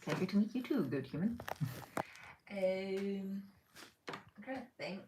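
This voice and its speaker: A woman's voice: mid-range voice, expressive, slow, roomy sound, recorded from a distance, noisy background. A woman from Northern Ireland, raised in Spain, hosting a casual book-channel livestream from home and thinking aloud with plenty of fillers. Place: home room by her bookshelf.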